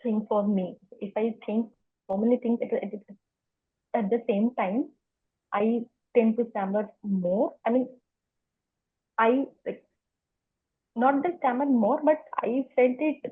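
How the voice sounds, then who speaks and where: A woman's voice: fairly high pitch, measured pace, long pauses, husky, phone-quality sound. A woman who stammers, repeating words and sounds as she answers interview questions. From India. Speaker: interview guest. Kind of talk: conversational interview.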